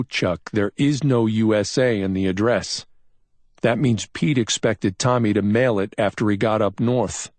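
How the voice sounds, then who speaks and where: A man voicing a brusque, excitable male police officer who snaps out urgent reasoning. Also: fairly low pitch, no echo, clean studio sound, pitched above the performer's own voice, lightly acted. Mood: assertive. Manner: snapping, urgent, scolding.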